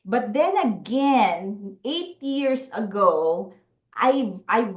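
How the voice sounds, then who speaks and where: A woman's voice: mid-range voice, animated, drawn-out words. A woman in her forties from the Philippines hosts an online selling workshop, mixing Tagalog and English and checking in with "okay?" over and over. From Philippines, Bicol. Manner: chatty, mixes Tagalog and English, frequent "okay?" check-ins. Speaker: Amazon selling workshop host. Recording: echoey room, slightly muffled audio.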